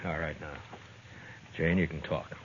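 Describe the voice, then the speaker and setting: An actor playing a stern middle-aged frontier town marshal in an old radio western, firm and blunt. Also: male voice, rumbling low, level tone, measured pace, slight background noise. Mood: threatening. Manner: firm, dry, blunt and threatening. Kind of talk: 1950s radio drama acting. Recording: muffled vintage radio transcription.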